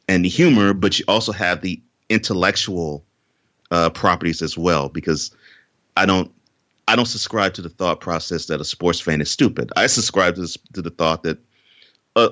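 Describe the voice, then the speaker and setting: Very deep-voiced man, earnest. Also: fairly bright tone, dry recording, clean studio sound. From Houston, Texas. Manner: earnest, repeats words when starting sentences. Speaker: podcast guest, author. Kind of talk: interview conversation.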